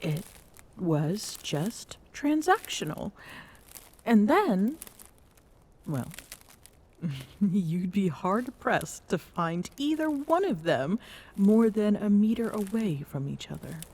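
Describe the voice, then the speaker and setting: A woman playing a tender, wistful but guarded Amazonian queen in an intimate ASMR roleplay, speaking softly and pensively, often close to a breathy whisper. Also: mid-range voice, big pitch swings, takes it slowly, pauses often, full character voice. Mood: fond, amused.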